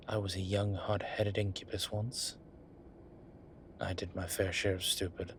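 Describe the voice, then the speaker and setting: A man playing a wry, laid-back incubus demon professor in a roleplay audio drama, talking with breathy pauses and trailing sentences. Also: sits low, little pitch movement, a little hiss or hum. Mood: amused, teasing. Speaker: voice actor. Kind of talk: roleplay audio drama, one side of a conversation.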